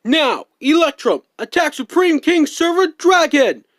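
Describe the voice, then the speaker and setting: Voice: sits high, very animated, a little slow, no echo, studio quality. An amateur voice actor declaiming card game duel lines in a theatrical anime fan-dub style.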